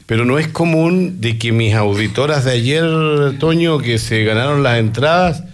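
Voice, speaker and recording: A man's voice: medium pitch, moderate pace. A man hosting a radio show, chatting casually and joking with his guests. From Chile. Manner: chatty, jokey, rambling with restarts. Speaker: radio host.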